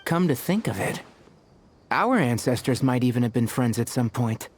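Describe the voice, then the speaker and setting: Guy voicing polite deferential young dude in a game, mid pitch, lively delivery. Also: moderate pace, slight background noise, lightly acted. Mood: apologetic. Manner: courteous, deferential. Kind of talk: video game story dialogue.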